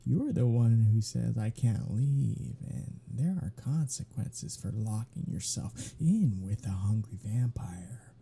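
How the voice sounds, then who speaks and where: Sly flirtatious male vampire played by a man for ASMR roleplay: very dark, breathy voice, sardonic teasing drawl. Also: mid-range voice, expressive, slow, hardly any pauses, full character voice. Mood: flirtatious, hungry. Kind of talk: ASMR roleplay audio drama.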